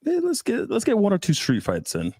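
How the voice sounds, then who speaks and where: A man's voice: sits high, huge pitch swings, brisk, a little mellow, no room sound. A man hosting a casual podcast chat, brash and joking, pushing crude advice. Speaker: podcast host.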